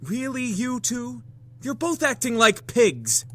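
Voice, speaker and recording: A man's voice: very high-pitched, big pitch swings, takes it slowly, exaggerated voice. An actor playing a stern, exasperated male incubus in a visual novel game, prim and reproachful.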